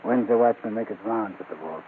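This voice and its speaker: A man's voice: on the low side, fairly quick, close to an everyday voice. Man acting gangster boss, domineering, curt, impatient, old radio crime play, narrow muffled sound, faint hiss. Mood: cold, commanding. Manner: curt, bossy tough-guy talk.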